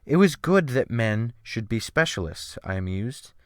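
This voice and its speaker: A man narrating a literary audiobook in the first person, with formal, theatrical, measured phrasing. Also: mid-range voice, big pitch swings, no echo, clean studio sound.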